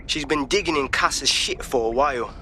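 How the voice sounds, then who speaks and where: A man's voice: medium pitch, moderate pace, sharp tone, a little hiss or hum, close to an everyday voice. A man playing a slangy hacker. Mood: blunt. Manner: blunt slangy talk. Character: streetwise male London hacker leader.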